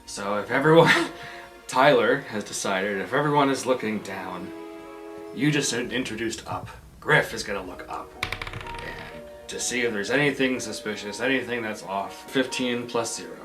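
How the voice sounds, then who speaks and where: A man's voice: lowish voice, moderate pace. A man playing a tabletop role-playing game, bantering casually and playfully. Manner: joking, playful. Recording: music underneath.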